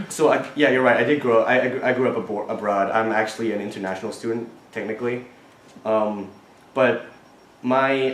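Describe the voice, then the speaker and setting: Un jeune homme venu de Thaïlande répond aux questions d'une élection étudiante. Sa voix est assez grave et il parle avec sérieux, en hésitant et en reprenant ses phrases. La salle de classe résonne nettement et un léger bruit de fond se fait entendre. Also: male voice, fairly low pitch, slight background noise. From Thailand. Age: young adult. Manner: earnest, halting, many restarts and fillers. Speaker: student board candidate. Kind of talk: answering questions at an election forum. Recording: echoey classroom.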